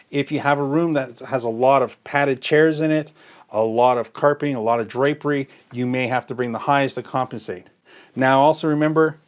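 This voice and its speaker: Man, middle-aged, mid-range pitch, product tutorial, explanatory, casual. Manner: explanatory, uses everyday analogies. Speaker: audio gear demonstrator.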